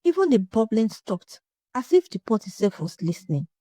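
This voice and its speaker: A woman narrating a scripted folktale in a dramatic, suspenseful way, with short measured phrases. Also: fairly high pitch, sing-song, warm tone, no echo, studio quality. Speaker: storyteller.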